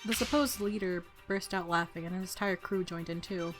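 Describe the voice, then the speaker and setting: A woman's voice: lowish voice, fairly even intonation, normal speed. A woman hosting a let's play, reading visual novel narration aloud expressively and adding informal reactions. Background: game music.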